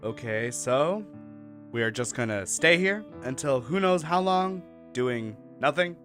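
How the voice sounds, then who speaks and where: A man's voice: medium pitch, lively intonation, a little slow, a bit bright, soft background music. A man acting a defiant, scornful, argumentative expedition linguist in an audio drama, speaking with emphatic delivery. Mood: sarcastic. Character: defiant, hot-headed male linguist on a doomed expedition.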